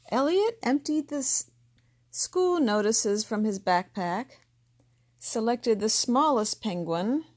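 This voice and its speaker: A woman reading a children's picture book aloud, with gentle, expressive narration that voices each character. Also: mid-range voice. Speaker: storybook reader.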